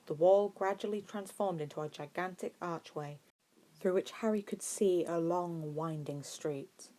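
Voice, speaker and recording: Woman reading a story out loud, pretty low voice, expressive amateur audiobook narration. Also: unhurried, low-quality recording.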